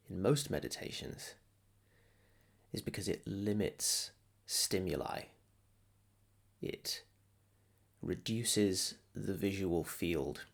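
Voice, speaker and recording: A man leading a guided meditation as a teacher, soothing and unhurried, leaving long pauses between short phrases. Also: low-pitched, vocal fry. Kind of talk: guided meditation, calm instruction.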